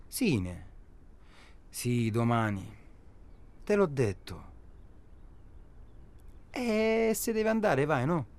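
An actor playing a practical, touchy family man, speaking in a laconic, guarded, matter-of-fact way. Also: medium pitch. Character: terse, practical, touchy family man, discreet hired accomplice. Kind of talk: naturalistic radio drama dialogue.

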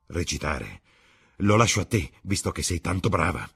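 Man playing a scornful, husky young gentleman. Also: deep voice, animated, normal speed, exaggerated voice. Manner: declamatory, bitter, theatrical. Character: cruel, scornful young gentleman, passionate and bitter.

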